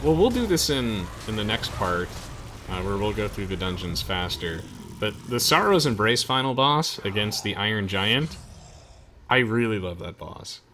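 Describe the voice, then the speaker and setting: A man's voice: on the low side, sing-song, moderate pace, sharp tone, slight background noise. A young man hosting a casual let's play, explaining the game with enthusiasm. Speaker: gaming video host. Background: faint game music.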